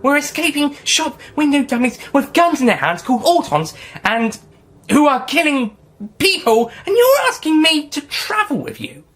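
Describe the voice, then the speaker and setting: Man voicing a bold young woman, lively and incredulous. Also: very high voice, normal speed, voice pushed up, exaggerated voice.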